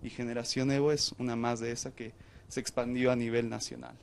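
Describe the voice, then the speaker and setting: A man explaining an organization's place in a movement, often adding tag questions. His pitch is ordinary, and a faint ambience hangs behind him. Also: level tone, fairly quick, gravelly, darkish tone, slight background noise. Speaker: interviewee, youth group member. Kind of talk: group interview.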